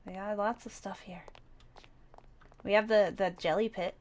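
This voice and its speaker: A woman's voice: medium pitch, fairly even intonation, normal speed, lightly acted. Woman playing a playful young apocalypse survivor. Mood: easygoing, eager. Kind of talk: improvised Minecraft roleplay.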